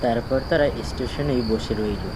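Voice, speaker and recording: A man's voice: medium pitch, normal speed, background noise. A man narrating a scripted horror story.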